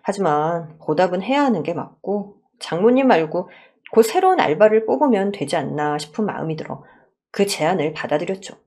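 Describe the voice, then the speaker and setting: Expressive woman storyteller, slightly husky. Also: fairly low pitch, brisk. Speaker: narrator of a story channel. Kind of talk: scripted first-person story narration, dramatized.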